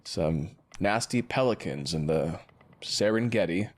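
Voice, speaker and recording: A man acting a brooding, guarded doctor in an audio drama, with a dry, terse, deadpan delivery. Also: low voice, unhurried. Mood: dry, cutting. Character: brooding male doctor, guarded, dryly cutting.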